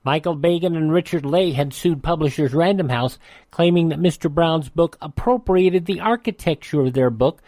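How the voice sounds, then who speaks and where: A man's voice: fairly high pitch, moderate pace, darkish tone, dry recording. An older American man hosting radio, reading history items in a narrating style with chatty personal asides. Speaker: radio host. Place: radio studio.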